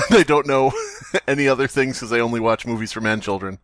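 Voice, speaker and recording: A man's voice: medium pitch, brisk, a bit bright, no echo. A man hosting a casual comedy podcast, riffing and joking as he chats. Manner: jokey, riffing. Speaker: podcast host.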